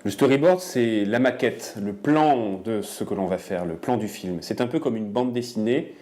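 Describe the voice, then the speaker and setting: A man's voice: on the low side, normal speed, warm tone. A man explaining animation scriptwriting and storyboarding in a documentary interview, hesitating often. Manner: explanatory, many hesitations with euh.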